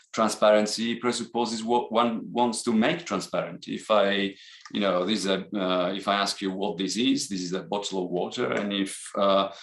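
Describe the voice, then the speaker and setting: A man's voice: lowish voice, a bit bright. A man from Italy with an Italian accent, giving a seminar lecture with frequent restarts and hesitations. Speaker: seminar presenter. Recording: echoey room.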